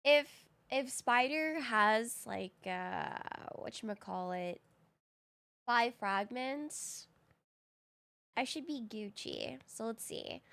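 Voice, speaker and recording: A woman's voice: a little high, gravelly, fairly bright tone. A woman live streaming a game in casual, slangy chat, her voice a little groggy from having recently woken up. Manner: slangy, informal.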